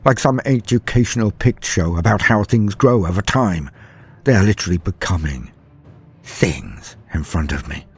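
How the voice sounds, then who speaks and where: A man voicing a terrified soldier in agony, telling of his flight in halting, fearful first person as a dramatic horror story. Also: low-pitched, expressive, breathy edge, faint music behind, heavily acted. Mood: horrified. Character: terrified male soldier fleeing a horde, in agony.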